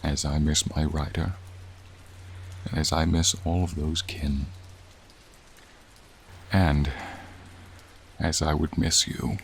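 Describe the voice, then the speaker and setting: ASMR roleplay: gentle, courtly dragon, male actor, unhurried and creaky, wistful and soothing storyteller's manner. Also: rumbling low, flat delivery, exaggerated voice. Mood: lonely, tender. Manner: slow, formal, tender, reflective storytelling. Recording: faint rain noise behind. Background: rain ambience.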